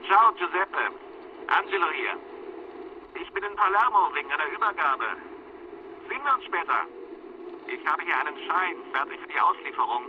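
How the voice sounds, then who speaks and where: An actor in a German radio crime drama playing a casual mafia boss's son through a telephone filter. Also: male voice, on the high side, lively intonation, moderate pace, pauses often. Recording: muffled, noisy wiretapped phone line.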